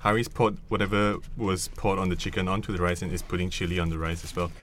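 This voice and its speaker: A man's voice: sits low, level tone, quick pace, a bit bright, a little hiss or hum. A man from Singapore chatting casually and jokingly about food as a guest. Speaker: guest colleague. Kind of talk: casual chat.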